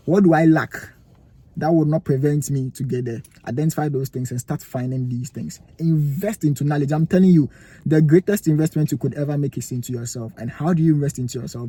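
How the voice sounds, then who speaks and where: Husky Ghanaian man preaching to camera. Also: medium pitch, a little fast, mellow, no room sound. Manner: emphatic, preachy, asks rhetorical questions. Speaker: vlogger giving life advice. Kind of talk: motivational talk to camera. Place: cold room indoors, near a heater.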